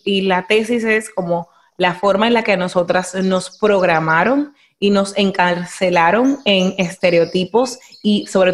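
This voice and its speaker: A young Dominican woman with a Dominican Spanish accent, hosting a podcast in animated casual chat, slipping into English phrases. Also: mid-range voice. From Dominican Republic. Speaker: podcast host.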